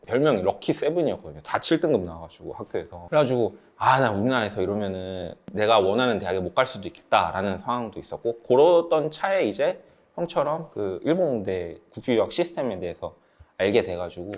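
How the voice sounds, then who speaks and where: A man's voice: lowish voice, very animated, quick pace. A man in his thirties from South Korea telling personal stories in a casual group chat. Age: 30s. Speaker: channel member sharing study-abroad experience.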